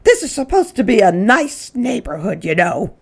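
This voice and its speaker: A woman voicing a haughty, indignant lady visitor in a storybook, shrill and hysterical with outrage. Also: sing-song, moderate pace, creaky, breathy edge, full character voice. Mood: outraged.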